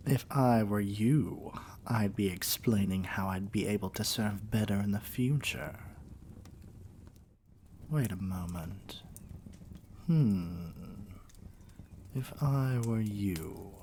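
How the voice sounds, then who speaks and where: Man playing a slow, low-voiced haughty evil king. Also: a little mellow, slight background noise. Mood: curious, pondering. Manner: pompous, condescending, drawling. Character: haughty, scheming evil sorcerer king, dominant and vain. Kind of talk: ASMR roleplay acting.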